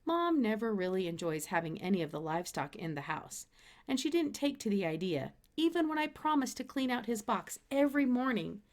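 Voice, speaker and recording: A woman reads a children's story aloud. Her voice sits at an ordinary middle pitch for a woman, and she narrates expressively as a storybook reader. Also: very animated, brisk.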